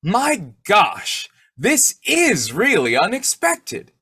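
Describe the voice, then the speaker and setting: Man playing animated, wisecracking radio-comedy husband. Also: sits high, very slow, slightly rough, dry recording, clean studio sound, exaggerated voice. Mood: delighted. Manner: folksy, exclamatory, chuckling delivery. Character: boyish, wheedling, wisecracking husband of old-time radio comedy. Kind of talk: 1940s radio comedy acting.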